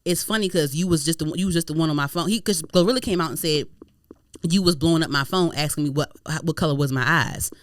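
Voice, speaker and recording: A woman's voice: low-pitched, fast, dry recording. Woman host gossiping casually, lots of slang and cussing, repeats stuff, really dry close sound. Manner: animated, slangy, profane, repeats herself for emphasis. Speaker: podcast host.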